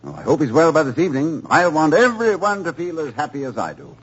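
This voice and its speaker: A fussy, chuckly college president. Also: male voice, on the high side, wildly swooping pitch, fast, crowd noise. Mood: gracious. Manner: hesitant, stammering, chuckling. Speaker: actor in a radio drama. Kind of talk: 1940s radio acting. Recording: muffled old radio broadcast.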